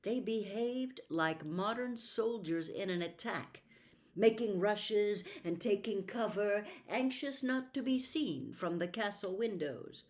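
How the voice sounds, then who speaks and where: A woman reading a classic story aloud as expressive, measured audiobook narration. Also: mid-range voice. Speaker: audiobook reader.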